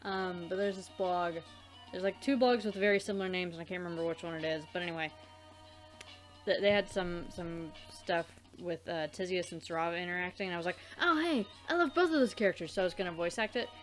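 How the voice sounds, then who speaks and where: A woman's voice: lowish voice, fast, soft background music. A woman co-hosting a let's play in casual, rambling, giggly chat.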